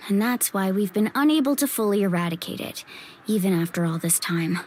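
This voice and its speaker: A woman's voice: medium pitch, animated, fairly quick, a little mellow, a little hiss or hum, lightly acted. A woman voicing a friendly, confident young warrior in an anime fantasy game, with an easygoing, earnest way of talking. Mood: earnest explaining. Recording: music behind the voice.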